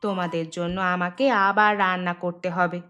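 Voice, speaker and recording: A woman's voice: on the low side, flat delivery, fairly quick, pushed and bright. A woman playing an outwardly hospitable but exasperated village aunt in a fairy-tale cartoon, with music behind. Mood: polite, patient.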